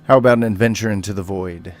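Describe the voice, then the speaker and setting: A man's voice: fairly low pitch, fast talker. A man hosting a gaming video, narrating casually and speaking voice commands to the game. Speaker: video host and gamer.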